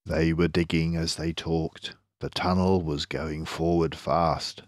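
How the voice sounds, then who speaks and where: An older man narrating a children's story aloud as a storyteller, in a measured, expressive manner. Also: rumbling low, no echo, clean studio sound.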